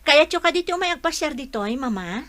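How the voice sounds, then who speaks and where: High-voiced young Ilocano wife. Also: female voice, expressive, a little fast, fairly bright tone. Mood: friendly. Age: young adult. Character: sweet pregnant young wife, dutiful daughter. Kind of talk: Ilocano radio drama acting.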